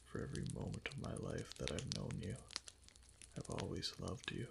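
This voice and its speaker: A man playing an obsessively devoted kitsune husband in an intimate romantic ASMR roleplay, speaking softly and hesitantly in a breathy, often whispered voice close to the mic. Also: sits low, very animated, moderate pace, very noisy, exaggerated voice. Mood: tender, soothing. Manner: soft, hesitant, clingy pillow talk. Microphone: close ASMR microphone.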